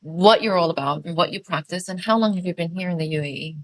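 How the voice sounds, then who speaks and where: Woman, thirties, podcast host, friendly, lowish voice, dry close sound. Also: fast, no echo. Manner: friendly, hesitant, searching for words. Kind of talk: podcast interview.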